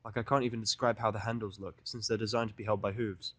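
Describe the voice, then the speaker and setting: A man's voice: lowish voice, little pitch movement, fast, a bit bright, no room sound. Man narrating in a dry, close recording. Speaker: fan fiction reader and narrator. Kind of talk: first-person audiodrama narration, conversational and profane.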